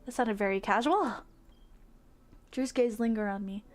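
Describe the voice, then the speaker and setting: A woman livestreaming visual novels, switching between excitable gushing reactions and expressive read-aloud narration. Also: fairly high pitch, normal speed. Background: game music.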